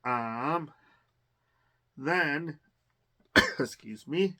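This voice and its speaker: A man's voice: a little high, lively intonation, extremely slow, pushed and bright. A man giving a casual, rambling unboxing commentary on a tabletop game, full of fillers and restarts.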